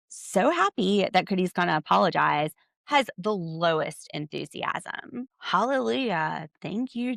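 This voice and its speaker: Sarcastic woman podcaster, lively gravelly voice. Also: mid-range voice, no room sound. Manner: sarcastic, exasperated. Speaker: podcast host. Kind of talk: solo reality TV recap commentary.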